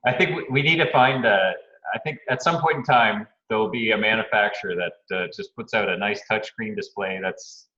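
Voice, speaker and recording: A man's voice: lowish voice, a little fast, sharp tone. A man from Canada hosting a casual video meeting, easygoing and full of fillers and restarts. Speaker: meeting host. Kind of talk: casual video-call chat. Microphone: video call. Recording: lo-fi echoey video call.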